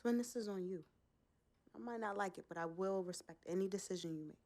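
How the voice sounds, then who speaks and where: A woman's voice: medium pitch, fairly quick, darkish tone, lightly acted. Protective twin sister and manager woman acting, game cutscene. Young, frank, no-nonsense, mid-range voice. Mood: resolute. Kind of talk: dramatic cutscene dialogue.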